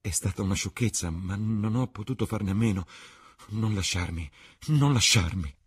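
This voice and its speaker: Spurned young actress, played by a male reader: tender, desperate, breathless pleading in a lifted, husky voice for a literary audiobook. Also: fairly low pitch, normal speed, voice pushed up. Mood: pleading, distraught.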